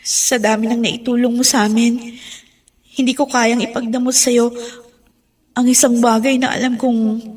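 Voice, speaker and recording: A woman playing a hesitant, grateful expectant mother in Filipino radio drama, halting and emotional. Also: fairly high pitch, little pitch movement, a little mellow, lightly acted. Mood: hesitant, resigned. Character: hesitant, grateful pregnant mother giving up baby.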